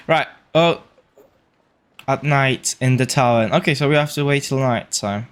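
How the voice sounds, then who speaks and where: A male streamer giving casual commentary on a game. He speaks offhandedly at a middling pitch, half talking to himself as he plays. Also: unhurried, smooth. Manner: offhand, muttering to self. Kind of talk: casual live gameplay commentary.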